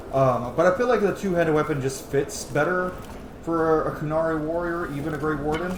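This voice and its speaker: A man giving casual, profanity-laced commentary while playing a video game. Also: a little high. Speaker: gaming video host. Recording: roomy echo, clear background noise.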